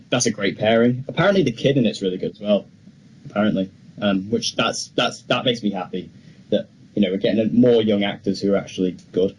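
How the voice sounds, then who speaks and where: A man's voice: on the low side, a little mellow, husky, low-quality recording. A young man co-hosting a podcast, talking casually and chattily about films with firm opinions. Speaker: podcast co-host. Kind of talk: casual film discussion.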